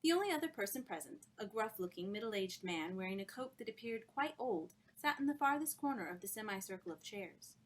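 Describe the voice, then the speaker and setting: A woman author reading her own novel aloud in an expressive storytelling style. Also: medium pitch, a little fast, few pauses.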